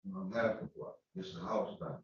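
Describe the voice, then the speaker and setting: A man's voice: low-pitched, steady tone, normal speed, a little hiss or hum. A man testifying as a witness in a courtroom, giving terse, mostly one-word answers. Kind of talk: testimony under questioning. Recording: echoey, distant, dull courtroom audio.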